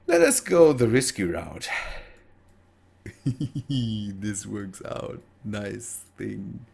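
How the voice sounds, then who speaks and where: A man's voice: lowish voice, sing-song, extremely slow, vocal fry, darkish tone, slightly rough. A man with a German accent and German as his native language, giving casual gameplay commentary in a hesitant, thinking-aloud way with self-corrections. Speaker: gaming video host.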